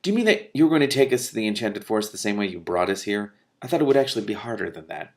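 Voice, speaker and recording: A man's voice: lowish voice, lively intonation, fast. A man voicing a young, outspoken princess in a storybook, worried and insistent.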